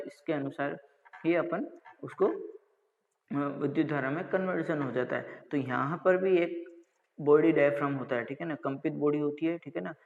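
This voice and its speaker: गूँजती, दबी रिकॉर्डिंग में राजस्थानी लहजे वाला पुरुष शिक्षक. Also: male voice, fairly high pitch. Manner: didactic, asks and answers own questions, repeats check phrases. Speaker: college lecturer. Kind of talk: explanatory technical lecture. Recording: dull lo-fi audio with long reverb.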